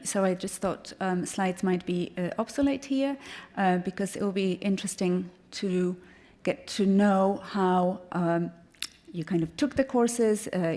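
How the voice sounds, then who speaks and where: A woman chairing an informal conference panel as host, speaking in hesitant, halting phrases. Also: mid-range voice, dark tone.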